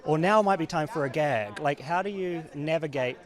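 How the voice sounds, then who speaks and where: A man with a slightly high voice asks casual interview questions. A noticeable crowd murmurs and chatters behind him. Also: moderate pace.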